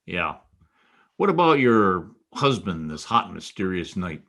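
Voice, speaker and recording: An actor playing a wisecracking, world-weary private detective in a noir radio drama, wry and sardonic. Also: male voice, sits low, sing-song, unhurried, gravelly, fairly bright tone, exaggerated voice. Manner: wry, sardonic, clipped tough talk. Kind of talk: old-time radio noir acting and first-person narration.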